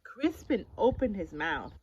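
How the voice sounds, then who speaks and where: A woman reading a picture book aloud to children in an expressive, emphatic storytelling style. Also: medium pitch, a little slow, slightly rough, poor audio. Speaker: story-time reader for children. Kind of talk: animated picture-book read-aloud with questions to listeners.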